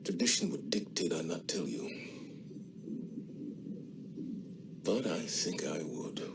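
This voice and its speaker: An actor playing a grave, grieving male commander in a video game, speaking in a solemn, measured, hushed way. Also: sits low, huge pitch swings, creaky, noisy background, full character voice. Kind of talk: dramatic video game eulogy.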